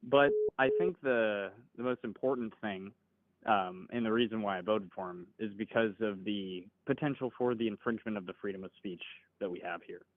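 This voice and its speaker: A man in his twenties calling into a livestream, talking in a measured, hedging, polite way. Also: on the low side, no room sound. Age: 20s. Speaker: caller. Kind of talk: casual political debate. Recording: tinny phone-like call audio, dry.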